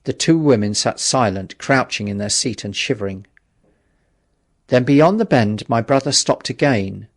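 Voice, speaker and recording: A male audiobook narrator with a mid-pitched voice reads a classic novel. His delivery is scripted, literary and measured, with a dramatic storytelling feel. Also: lively intonation.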